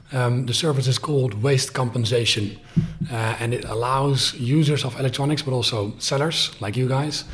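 A man speaking as a guest on a podcast, talking briskly and in a businesslike way with some fillers. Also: mid-range voice. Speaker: guest, company founder. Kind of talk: podcast interview.

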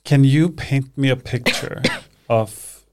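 A woman's voice: extremely low pitch, slow, noisy background. A woman hosting a podcast, asking curious questions and giving short reactions to her guest. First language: Icelandic. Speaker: podcast host. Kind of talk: casual interview conversation.

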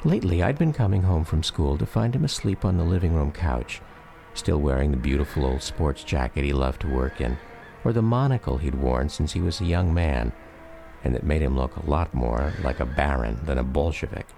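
A man narrating a radio drama as a grown son recalling his father, in measured, reflective first-person storytelling. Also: rumbling low, animated, vocal fry, dark tone, soft background music, exaggerated voice. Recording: faint noise behind.

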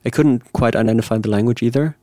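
A man, a composer, giving thoughtful, measured interview answers and searching for the right words. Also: mid-range voice, warm tone, no room sound. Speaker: composer guest.

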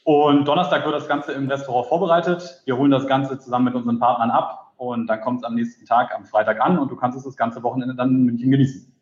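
A man in his late twenties from Berlin, talking as an interview guest and pausing on many fillers. His audio sounds roomy and dull, as if recorded remotely. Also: mid-range voice, steady tone. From Berlin, Germany. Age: late 20s. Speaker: guest, startup co-founder. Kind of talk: casual interview.

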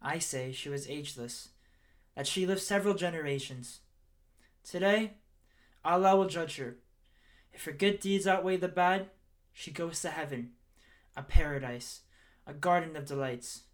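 An actor playing a grave cleric delivering a funeral eulogy in a radio play, solemn and oratorical. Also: male voice, on the high side, normal speed, natural voice. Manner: oratorical, emphatic, rhythmic repetition.

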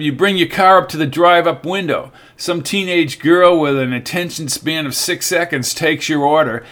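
A man's voice: fairly high pitch, a bit bright, natural voice. A man reading the part of a weary, restless man from California who talks emphatically and sardonically.

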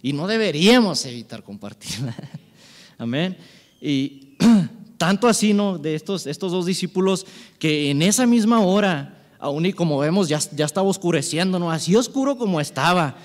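A man's voice: high voice, expressive, moderate pace. A man preaching an informal sermon in a conversational way, often adding short rhetorical tag questions. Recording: reverberant hall, long echo.

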